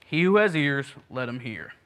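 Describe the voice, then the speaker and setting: A man's voice: medium pitch, big pitch swings, moderate pace, a bit bright. A man in his thirties who grew up in Puerto Rico, preaching a sermon with earnest, self-deprecating asides and frequent restarts. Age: 30s. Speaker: pastor.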